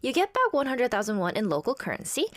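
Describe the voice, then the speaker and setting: A woman's voice: fairly high pitch, big pitch swings, fast talker, fairly bright tone, no echo, studio quality. A woman co-hosting a radio show, explaining things conversationally in an agreeable, responsive way. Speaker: radio co-host.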